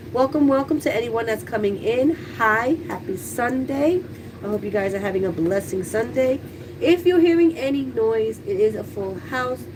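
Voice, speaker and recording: A congested woman chats openly with her viewers in a home livestream, her voice a little stuffy. She sits back from the mic in a kitchen, and a busy household's noise carries clearly behind her. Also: fairly high pitch, far from the mic. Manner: chatty, candid, talks to viewers. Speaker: livestreaming YouTuber. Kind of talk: casual livestream chat.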